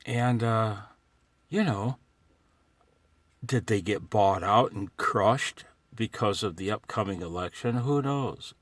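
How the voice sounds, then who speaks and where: A man's voice: fairly low pitch, takes it slowly, dry recording. An older American man rambling casually to himself on a vlog from inside a parked truck, with a wry, folksy manner. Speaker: amateur radio hobbyist vlogger.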